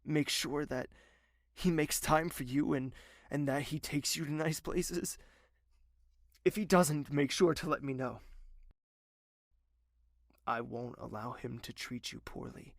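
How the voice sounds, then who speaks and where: An actor playing a tender, remorseful nobleman in love, speaking softly, slowly and earnestly in an audio drama. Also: fairly high pitch, vocal fry, no echo, clean studio sound. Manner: soft, slow and earnest.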